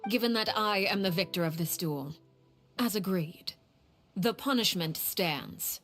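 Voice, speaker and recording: An actress playing a stern, composed head of a secret house in a video game, speaking in a formal, measured way. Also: female voice, medium pitch, lively intonation, fairly bright tone, faint music behind. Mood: cold, formal. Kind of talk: dramatic video game voice acting.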